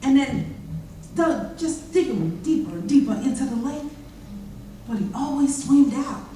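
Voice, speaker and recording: Woman acting a high, soft-toned former slave. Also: animated, measured pace. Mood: haunted. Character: formerly enslaved water toter, haunted eyewitness. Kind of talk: readers theater monologue. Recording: echoey chapel from far off, noisy.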